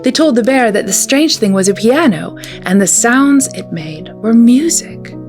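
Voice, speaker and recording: A woman's voice: fairly high pitch, animated, a little slow, darkish tone, faint music behind. A woman hosting a children's storytime, reading a picture book aloud in a storytelling style.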